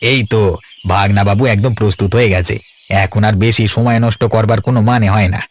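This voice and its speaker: A man playing a proud younger brother and uncle in an animated family drama, firm and matter-of-fact. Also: lowish voice, moderate pace, natural voice. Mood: easygoing, reassuring.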